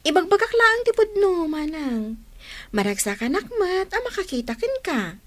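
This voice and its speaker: A woman's voice: very high voice, sing-song, normal speed, fairly bright tone, full character voice. A woman acting a cheeky, affectionate younger sister in an Ilocano Filipino radio drama, eager and teasing. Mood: teasing, tender.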